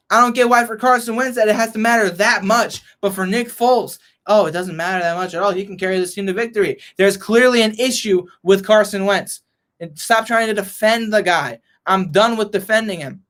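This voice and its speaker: A young man ranting as a frustrated sports fan vlogger, hoarse and losing his voice, reading and answering chat. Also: high voice, a bit bright. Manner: frustrated, heated rant.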